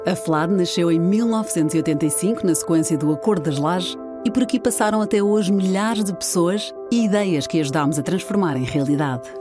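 A woman narrates a polished sponsor spot in a brisk, formal read. Her voice sits fairly low, and loud music plays under every line. It sounds like a studio-made promotional voice-over.

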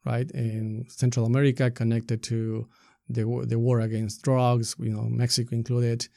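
A man's voice: mid-range voice, little pitch movement, normal speed, creaky, dark tone, no room sound, studio quality. A middle-aged man with an Ecuadorian Spanish accent, explaining things conversationally as an interview guest and often checking points with a tag question. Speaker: podcast guest, community activist and soccer coach.

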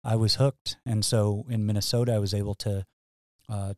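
A man from Wisconsin, a guest scholar, telling his story in a relaxed way with fillers and chuckles. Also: fairly low pitch, normal speed, dry recording, studio quality. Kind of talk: casual academic interview.

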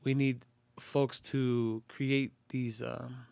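Man, smooth clear voice, dry close sound, earnest measured talk. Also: medium pitch, little pitch movement, darkish tone, no room sound. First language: English. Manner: earnest, measured, checks in with "right?". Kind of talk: casual conversation.

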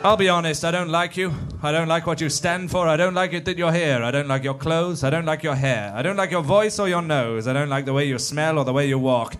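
A man's voice: on the high side, brisk, few pauses, a bit bright, slight background noise, natural voice. A blunt, grumbling official blusters through his complaints, as a man acts him out with a slightly raised voice. He sounds rude and full of himself, a figure from a comic audio sketch. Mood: blustering.

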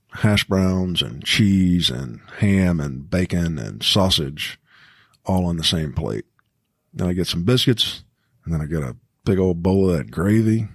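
A man's voice: low voice, creaky, husky, no echo, studio quality. A man hosting a casual podcast chat in a folksy, enthusiastic way, often checking in with his listeners. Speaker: podcast host.

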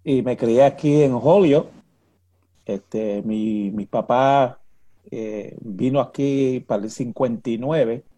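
Dark-voiced older Puerto Rican man. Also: mid-range voice. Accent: Puerto Rican Spanish. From Puerto Rico. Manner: halting, repeats words, many fillers. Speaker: interview guest, retired police officer. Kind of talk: casual interview chat.

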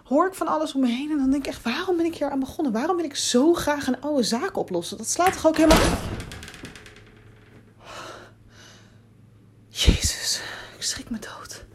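An actress playing an anxious, inquisitive young reporter in a hesitant, confiding voicemail monologue in an audio drama. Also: female voice, high voice, animated, brisk, hardly any pauses, slight background noise. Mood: frightened.

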